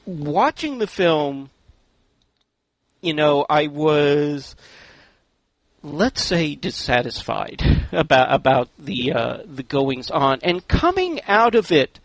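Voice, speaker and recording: A man hosting a casual film roundtable, speaking haltingly with wry, drawn-out emphasis. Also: a little high, sing-song, no room sound. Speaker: podcast host.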